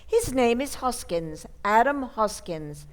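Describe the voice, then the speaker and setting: A woman's voice: a little high, lively intonation, takes it slowly. Woman playing lilting sweet old aunt. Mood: calmly unbothered. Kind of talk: old time radio acting.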